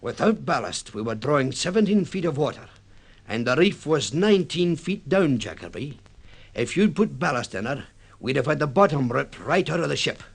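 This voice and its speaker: A bitter, weather-beaten sea captain in old radio drama style. His voice sits a little high and swings widely as he makes his blunt, forceful accusations. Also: very animated, moderate pace, creaky, breathy edge, heavily acted. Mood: scornful, triumphant. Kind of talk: 1950s radio drama acting.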